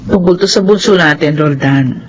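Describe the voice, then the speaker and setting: A woman playing a soft-hearted, anxious middle-aged Filipino mother and wife in a radio drama. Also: deep voice, normal speed, muffled recording. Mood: worried. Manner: anxious, expressive. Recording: noisy radio drama track.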